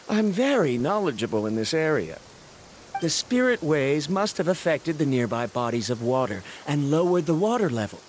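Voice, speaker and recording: A man's voice: a little high, a little hiss or hum. A man voicing a learned, stubborn male scholar in a video game, who explains in a formal, reasoned way. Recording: game audio with faint music.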